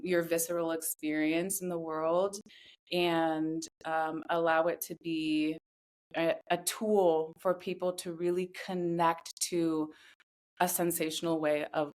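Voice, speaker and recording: A woman in her thirties speaking as a podcast guest, telling her story in a reflective, earnest way with measured, deliberate phrasing. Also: low voice. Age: 30s. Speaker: podcast guest, somatic voice guide. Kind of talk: reflective personal interview talk.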